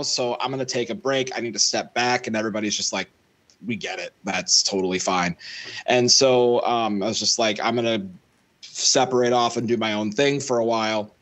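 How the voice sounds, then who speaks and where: A man's voice: medium pitch, fairly bright tone. Young man rambling as a musician guest. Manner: rambling, with many fillers and restarts. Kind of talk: casual interview. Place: home room. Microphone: studio mic close, headphones on. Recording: video call.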